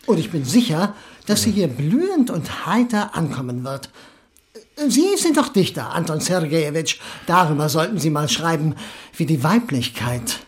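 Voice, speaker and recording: A smugly besotted émigré husband in a radio drama. His voice is high for a man and swings widely in pitch. He talks effusively and emphatically, like someone who loves to hold forth. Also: sing-song, moderate pace. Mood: opinionated, exuberant. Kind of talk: German radio drama acting.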